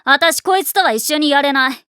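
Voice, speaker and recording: A hot-tempered high school tomboy for an anime. The voice is high and bright, with slangy, boyish phrasing. It is blunt and defensive, recorded very dry. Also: female voice, moderate pace, no room sound. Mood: hurt, angry. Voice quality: rough, slangy boyish speech. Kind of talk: anime voice acting.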